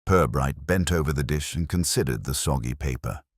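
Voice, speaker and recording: Very deep-voiced male storyteller. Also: moderate pace, breathy edge, dry recording, clean studio sound. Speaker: narrator. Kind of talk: scripted literary mystery narration.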